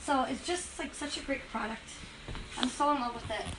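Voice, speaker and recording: A woman's voice: high voice, normal speed, a bit bright, a little hiss or hum, low-quality recording. A woman from Western Massachusetts demonstrating furniture finishing on a livestream, chatty and enthusiastic, a bit congested from a cold. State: has a cold. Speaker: furniture painting host. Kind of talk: casual livestream demo.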